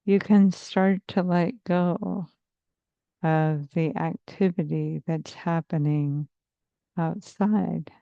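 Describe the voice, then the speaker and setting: A woman therapist leading a guided meditation in a soothing, measured, hypnotic manner with long pauses, on slightly muffled audio. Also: fairly low pitch, steady tone, creaky, no room sound. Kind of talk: slow guided meditation narration.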